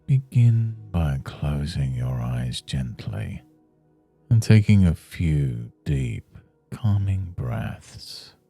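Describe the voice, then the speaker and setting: A man leads a guided meditation in an extremely deep, husky voice. He speaks slowly and soothingly, leaving long pauses between measured, scripted phrases. Soft music plays faintly behind him. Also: big pitch swings.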